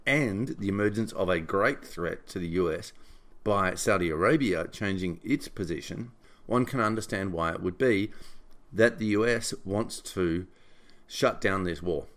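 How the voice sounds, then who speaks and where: A man giving informal solo political commentary in a deliberate, emphatic and opinionated way. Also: deep voice, a bit bright. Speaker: podcast host and writer summarizing own article.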